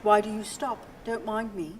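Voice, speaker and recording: A woman acts a matter-of-fact wife in a radio play, detached yet gently nagging. Her medium-pitched voice is understated and sounds set back from the microphone, with clear ambient noise. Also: fairly even intonation, normal speed, natural voice. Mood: detached concern. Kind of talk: restrained radio play dialogue. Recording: distant mic, noisy ambient sound.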